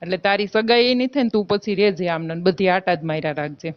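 A woman's voice: fairly low pitch, steady tone, quick pace, clean tone, lightly acted. A woman playing an aggrieved married village woman in a phone-call drama, with a Gujarati accent, insistently accusing and threatening her lover. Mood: bitter threatening. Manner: insistent, reproachful, argumentative. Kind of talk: staged phone-call drama in colloquial speech. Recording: dull, lo-fi phone call recording.

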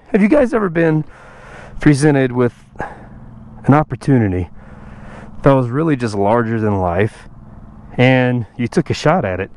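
A man chatting casually while riding his motorcycle, his voice a bit rough from recovering after losing it. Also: mid-range voice, a little mellow, no room sound. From Texas. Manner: chatty, self-joking, sighs and laughs. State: recovering from lost voice. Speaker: motovlogger. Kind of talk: casual motorcycle vlog chat. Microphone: helmet-mounted action camera mic. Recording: outdoor wind and road noise.

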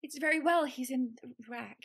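Shy young woman, gentle, higher pitched, audio drama. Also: moderate pace, vocal fry, husky, full character voice. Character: shy young woman finding her courage. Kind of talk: radio drama acting.

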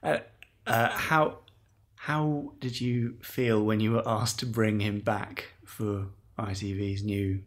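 A man hosting a podcast, asking questions briskly and affirming the guest's answers. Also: fairly low pitch, steady tone. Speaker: podcast host interviewer. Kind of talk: interview questions.

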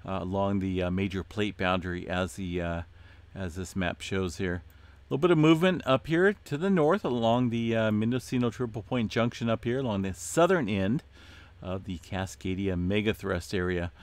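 A man hosting an earthquake update, talking casually through his screen with many fillers and a voice going hoarse. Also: lowish voice, moderate pace, dry recording. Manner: relaxed, many "uh" fillers, addresses viewers as folks. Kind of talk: casual screen-share commentary.

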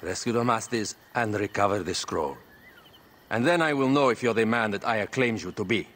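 A man's voice: lowish voice, lively intonation, moderate pace, fairly bright tone. An actor playing a wary, authoritative male agent in an ancient Egypt video game. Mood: stern, measured. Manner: blunt, authoritative.

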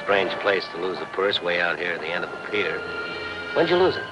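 An actor playing an inquisitive, dryly persistent middle-aged newspaper reporter in a 1950s television crime drama. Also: male voice, low voice, big pitch swings, fairly quick, over loud music. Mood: dry, probing. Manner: questioning, dry, probing. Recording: noisy old 1950s film soundtrack.

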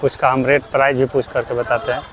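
A young man from Bihar narrating a walking vlog at an outdoor crafts fair on a lapel mic, repeating himself and loudly asking vendors for prices. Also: mid-range voice, level tone, fast. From Bihar, India. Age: young adult. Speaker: vlogger. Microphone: clip-on lapel mic.

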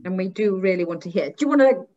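A woman's voice: medium pitch, lively intonation, fast talker, mellow. A woman moderating a panel discussion over a video call. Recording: slightly dull video-call audio.

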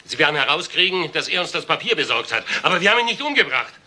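An actor playing a curt, defiant suspect under police questioning in a crime drama. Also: male voice, fairly high pitch, sing-song, racing, pushed and bright, full character voice. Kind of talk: German TV crime drama acting.

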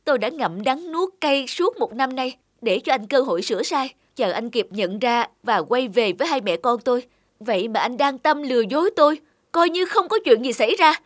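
A woman playing a bitter, betrayed wife in a cartoon, accusing and forceful. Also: high voice, expressive, normal speed, no room sound, studio quality. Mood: angry, hurt. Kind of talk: cartoon drama dialogue.

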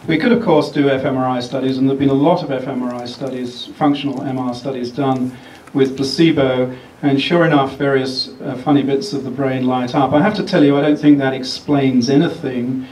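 An older man giving a public lecture, speaking in a measured, explanatory way with frequent pauses. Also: mid-range voice, fairly even intonation, a little mellow, a little hiss or hum. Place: large lecture theatre. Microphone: podium mic, then handheld. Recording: echoey hall picked up from a distance.

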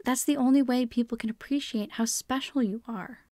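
A woman's voice: on the high side, a little fast, dark tone, no echo, studio quality, lightly acted. A woman playing a caring, encouraging mother in a comic fan dub. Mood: reassuring.

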